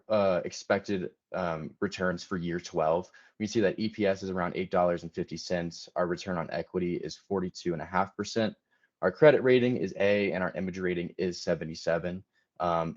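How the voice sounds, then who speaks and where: A man with a very low voice gives a prepared business presentation. He is a little hesitant, often breaking phrases with ums. The sound is dull and lo-fi. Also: a little mellow. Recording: dull lo-fi audio.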